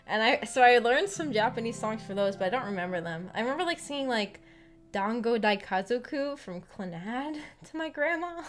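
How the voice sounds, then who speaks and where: A young adult woman livestreaming in a casual, halting, rambling chat with her viewers over background music. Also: a little high, animated. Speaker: streamer hosting a visual novel book club.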